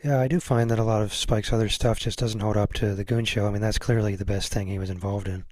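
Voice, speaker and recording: A man's voice: on the low side, steady tone, fast, darkish tone, dry recording. A man chatting casually as a podcast host, agreeable and unassuming in the way he talks. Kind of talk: casual chat.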